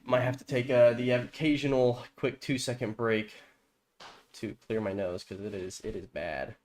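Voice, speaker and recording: A man's voice: mid-range voice, normal speed, noisy background. A man with a stuffy, congested nose, casually talking through a football video game on a live stream, rambling with plenty of fillers. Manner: relaxed, rambling, many fillers. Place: home streaming setup with green screen. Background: background music.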